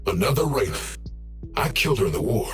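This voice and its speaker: An actor playing a cold, merciless war robot in a video game, blunt and resolute. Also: male voice, fairly low pitch, big pitch swings, brisk, faint music behind.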